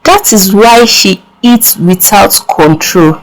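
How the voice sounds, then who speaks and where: Woman as old male seer, folktale, solemn, grave, very slow, medium pitch. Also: poor audio.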